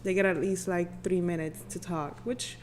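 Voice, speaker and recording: A woman's voice: fairly low pitch, steady tone, normal speed, a little hiss or hum. A woman radio personality chatting casually as a podcast guest, bilingual and slipping words into English, with as her language. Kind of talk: casual interview chat.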